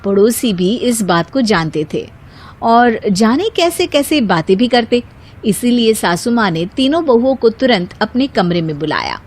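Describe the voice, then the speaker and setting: A woman's voice: a little high, sing-song, a little slow. A woman storyteller reading scripted narration for a Hindi moral bedtime story.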